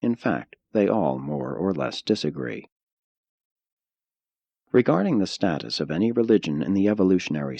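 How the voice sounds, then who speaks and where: A man with a deep voice reads formal prose as an audiobook narrator. His delivery is measured and solemn, yet his pitch moves widely through each phrase. The sound is dry and close, with very little room around it. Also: vocal fry, mellow, no echo, dull audio.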